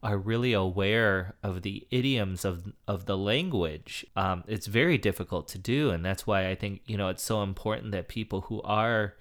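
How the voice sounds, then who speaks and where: A man's voice: lowish voice, moderate pace, hardly any pauses, a bit bright. A man speaking as a composer in an interview, thoughtful and hedging with fillers as he explains. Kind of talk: reflective interview talk.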